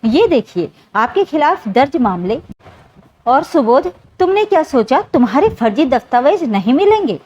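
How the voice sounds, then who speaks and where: A woman narrator voicing a steady, righteous male police inspector in a story, firm in manner. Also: high voice, moderate pace. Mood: stern, accusing. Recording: dull, lo-fi sound.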